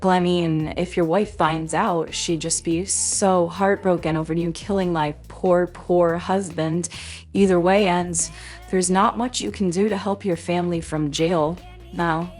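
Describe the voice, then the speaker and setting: A woman playing a scheming, falsely sweet gold-digging widow in a roleplay drama, coy and smug with drawn-out mock sympathy. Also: fairly low pitch, fairly even intonation, darkish tone, soft background music, close to an everyday voice. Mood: mocking, possessive.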